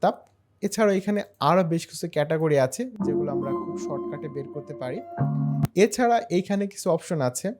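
A man narrating a screen-share software tutorial in a step-by-step, explanatory way. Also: sits high, lively intonation, a little fast, over music. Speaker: tutorial host.

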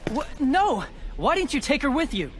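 Teen boy game hero, casual and emotional, pitch all over, faint noise. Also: sing-song, moderate pace. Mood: alarmed. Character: impulsive, loyal teenage boy hero. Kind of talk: dramatic video game cutscene acting.

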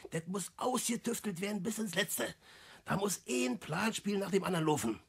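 Husky, cocky old crook. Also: male voice, high voice, expressive, normal speed, exaggerated voice. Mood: boastful. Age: older. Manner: cocky, colloquial, lecturing. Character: cocky ageing small-time schemer, full of himself. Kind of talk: 1960s German radio play acting.

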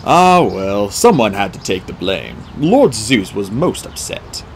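A man acts out a middle-aged centaur mentor in a storybook. He is dignified and kindly, choosing his words formally and deliberately. His delivery rises and falls very widely, with faint noise behind it. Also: on the low side, big pitch swings, pitched below the performer's own voice. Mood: wry, weary. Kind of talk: audiobook character dialogue.

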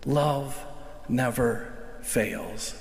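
Older American priest at a lectern, earnest and reflective homily, mid-pitched voice, roomy church reverb. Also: male voice, expressive, gravelly. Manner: earnest, reflective, slows to stress key phrases. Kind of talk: sermon. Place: large church sanctuary, indoors. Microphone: lectern microphone. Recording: echoey church livestream with long reverb.

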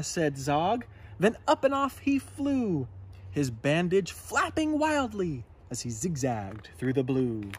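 A man in his thirties reading a children's story aloud outdoors in an expressive, dramatic manner. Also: on the high side, breathy edge. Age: 30s. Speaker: storybook reader. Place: outdoors in a garden.

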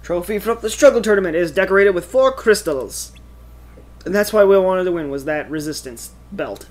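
A man's voice: high-pitched, expressive, moderate pace. A man giving casual, playful commentary while he plays a video game. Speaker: gamer commentating on playthrough. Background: game music.

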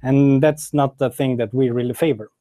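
A man presenting at a tech meetup, moving through his slides one by one. His delivery is relaxed with a light joking touch, at a middle pitch. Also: normal speed. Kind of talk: conference talk with slides.

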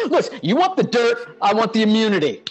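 A man's voice: extremely high pitch, fairly bright tone, full character voice. A man acting a smug, scheming, self-important store slacker in a pompous, dramatic way at a live comedy read.

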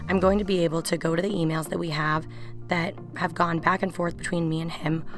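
A young woman narrating a software demo in a conversational, explanatory way. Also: lowish voice, steady tone, fairly quick. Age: young adult. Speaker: software product presenter. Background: music.

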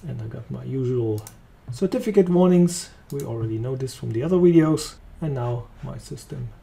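A man with a German accent walking through a software demo, talking casually as he clicks along. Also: medium pitch, normal speed, gravelly. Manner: relaxed, thinking aloud while clicking. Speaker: software trainer. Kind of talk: screen-recorded software demo.

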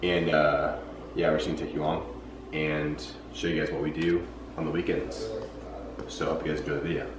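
Low-voiced young man vlogging casually. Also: level tone, brisk, noisy background. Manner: laid-back, rambling, low-key. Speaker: fitness vlogger. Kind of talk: casual vlog narration. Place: apartment kitchen and parked car. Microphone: handheld camera held close.

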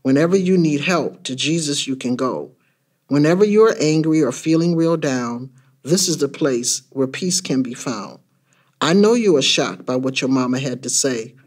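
A man playing a tender, devout old grandmother who consoles softly and soothingly in a rhyming spoken-word story. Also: a little high, moderate pace, close to an everyday voice. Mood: consoling. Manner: soft, soothing.

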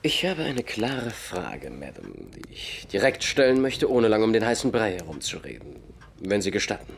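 An actor playing a shrewd, relentless male detective in an audio drama, speaking in a theatrical, deliberate, pointed way. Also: fairly low pitch, very animated, vocal fry, a little hiss or hum. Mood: cool, direct.

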